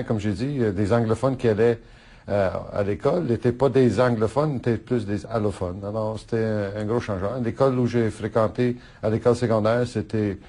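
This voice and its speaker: Hesitant low-voiced man, Italian native. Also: warm tone. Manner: hesitant, many fillers and restarts, laughs often. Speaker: politician interviewee. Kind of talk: casual interview answers.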